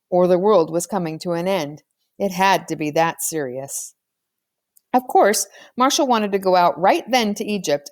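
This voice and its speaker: A woman's voice: medium pitch, darkish tone, no room sound. Woman reading a children's novel, very dry sound. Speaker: audiobook reader. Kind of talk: scripted narration of a children's novel.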